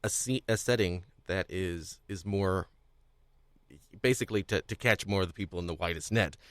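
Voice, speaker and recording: A man's voice: sits low, moderate pace, no echo. A man in casual discussion as a podcast co-host, rambling and often rephrasing himself mid-sentence.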